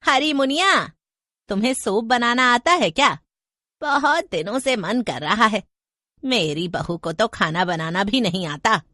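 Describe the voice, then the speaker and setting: A woman voicing an older mother-in-law, panicky and complaining, with a shrill, dramatic manner in a horror story. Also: animated, measured pace, bright, forceful tone, no room sound, studio quality.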